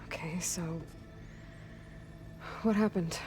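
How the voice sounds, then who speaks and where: A woman's voice: lowish voice, fairly even intonation, slow, warm tone, faint music behind. A woman voicing a guarded, determined young female warrior in a video game, with dry, sardonic delivery. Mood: measured.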